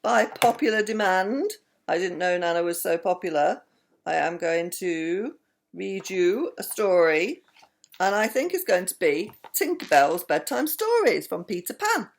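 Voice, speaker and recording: Older lady reading a bedtime story, kinda low creaky voice, very loving and expressive. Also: measured pace. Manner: affectionate, animated storytelling. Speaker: grandmother reading bedtime stories to her grandchildren.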